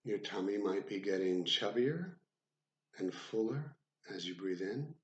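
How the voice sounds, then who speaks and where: A man with a low voice leads a guided body scan. He speaks very slowly and deliberately, leaving frequent gaps between short phrases. The sound is roomy, with clear echo around his voice. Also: muffled recording. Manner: measured, deliberate, with frequent pauses. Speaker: psychotherapist guiding meditation. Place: room with the door left open.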